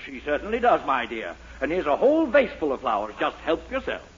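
An actor playing a hurried, blustering small-town mayor in a 1940s radio sitcom, pompous, folksy and easily flustered. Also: male voice, fairly high pitch, huge pitch swings. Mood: hurried, kindly. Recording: dull, slightly noisy 1940s radio recording.

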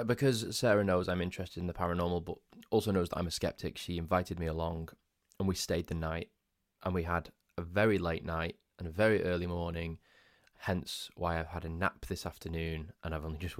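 A man with a very low, groggy voice hosts his own podcast. He rambles casually, asking himself questions and restarting sentences. The sound is dry and close. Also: fairly even intonation, a little fast, dry recording. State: groggy, just woken up. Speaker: podcast host. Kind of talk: casual solo podcast storytelling.

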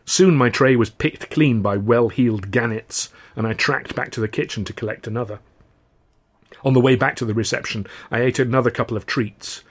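A man's voice: medium pitch, normal speed. A man reading his own novel as a wry first-person audiobook narration.

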